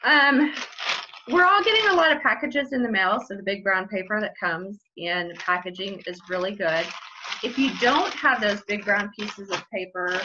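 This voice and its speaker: A woman's voice: mid-range voice, bright, forceful tone, dull audio, a little hiss or hum. A middle-aged woman hosting a children's story time, giving craft instructions to camera at home. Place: small bright room at home, indoors.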